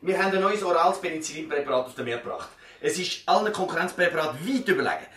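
Casting: eager Swiss sales rep in radio play, extremely fast, forceful bright voice, heard echoey from a distance. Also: male voice, a little high, sing-song. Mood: eager, pushy. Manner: salesman-like, insistent. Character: pushy, eager male pharmaceutical sales rep. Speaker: actor. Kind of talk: radio drama acting.

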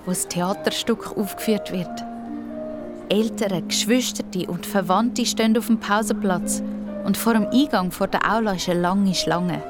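A woman with a Swiss accent narrating a scripted children's story. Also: mid-range voice.

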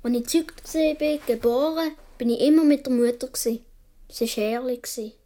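A woman narrating a radio play as a dreamy young boy, with a Swiss German accent and wistful, unhurried storytelling. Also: sits high, mellow, close to an everyday voice. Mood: dreamy, tender. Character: dreamy young Swiss boy recalling his earliest childhood.

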